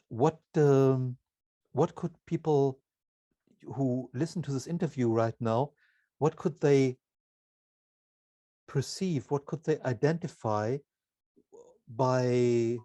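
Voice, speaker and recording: Mellow male interviewer with a dry sound. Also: medium pitch, no room sound. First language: German. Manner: halting, deliberate, broken phrasing. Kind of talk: conversational interviewing.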